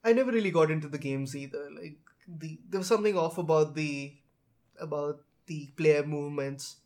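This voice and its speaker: A man's voice: fairly high pitch, moderate pace, a little mellow. A man hosting a casual chat, with a hesitant, circling way of putting things. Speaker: podcast host. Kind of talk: casual chat about films and games.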